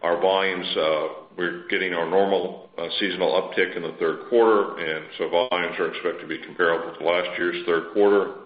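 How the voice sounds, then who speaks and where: Deep-voiced man on an echoey conference call. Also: steady tone, normal speed, few pauses, highs cut off. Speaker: company executive presenting financial outlook. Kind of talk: earnings call presentation. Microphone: conference call line.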